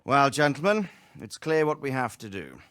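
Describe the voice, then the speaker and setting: An actor playing a commanding lord in a video game cutscene, giving orders in a measured, authoritative way. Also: male voice, mid-range voice, sing-song, a bit bright, no room sound, studio quality. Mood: firm. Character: commanding steady male lord.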